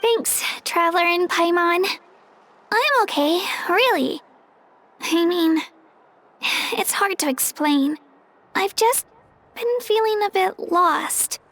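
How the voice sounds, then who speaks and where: A very high-pitched young girl from a video game. She speaks gently and earnestly, with a hesitant, self-doubting edge and polite delivery. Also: lively intonation, slow, pauses often, full character voice. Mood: reassuring.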